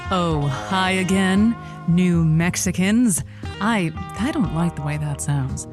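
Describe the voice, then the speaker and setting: A woman's voice: on the low side, slow, music in the background. A woman playing an eager, inexperienced late-night radio host who rambles and stammers with chirpy politeness in an audio comedy. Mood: nervous, welcoming. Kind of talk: audio comedy drama acting.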